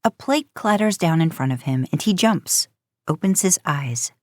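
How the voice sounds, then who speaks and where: Woman narrating a story audiobook style, kinda low voice, really dry sound no room. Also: expressive, normal speed, dry recording. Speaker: podcast host and fan fiction narrator.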